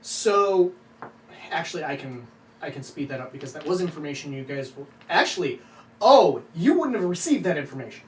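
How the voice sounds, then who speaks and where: A woman runs a tabletop game in a relaxed, chatty way, laughing easily. Her voice is low, and it sounds roomy and a little distant from the microphone. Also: brisk, a little hiss or hum. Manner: laughs often, loose and chatty. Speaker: game master.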